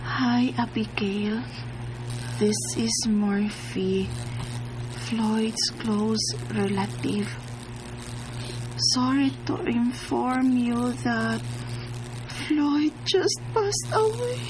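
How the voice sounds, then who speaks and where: A woman's voice: on the high side, very slow, long pauses, noisy background. An actress playing a distraught, emotional young woman in a Bisaya radio drama, with a Cebuano Filipino accent. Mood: slow, stunned reading. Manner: melodramatic, emotional. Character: distraught pregnant young woman bereaved of her fiancé.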